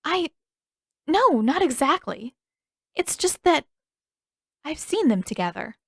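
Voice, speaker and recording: A woman voicing a gentle, earnest young woman in a story, shy, sincere and careful in how she talks. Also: high voice, sing-song, slow, no room sound, studio quality, voice pushed up, full character voice. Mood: cautious. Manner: hesitant, careful.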